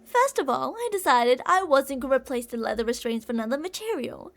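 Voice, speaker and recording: A woman's voice: high voice, animated, brisk. A woman playing an obsessive older female kidnapper in an ASMR roleplay, cooing and possessive, doting yet menacing, with theatrical delivery. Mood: calm, doting. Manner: cooing, possessive, theatrical, with pet names and whispered phrases.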